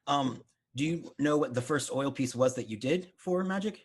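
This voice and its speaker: A man's voice: mid-range voice, animated, moderate pace, slightly rough. A man hosting an interview over a video call, asking rambling, self-correcting questions. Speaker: interviewer host.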